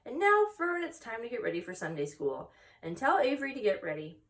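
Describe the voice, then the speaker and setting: A woman's voice: a little high, very animated, a little fast, voice pushed up. A woman voicing a worried, proper, questioning farm mother in a storybook read aloud, a little far from the mic. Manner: concerned, questioning.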